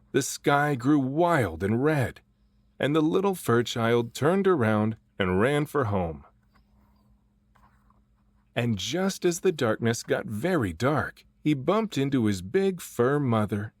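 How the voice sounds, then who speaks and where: Slow, expressive man reading a storybook. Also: mid-range voice, no echo. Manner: deliberate, drawn-out phrasing with dramatic emphasis. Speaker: storybook reader and voice actor. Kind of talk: expressive read-aloud of a children's picture book.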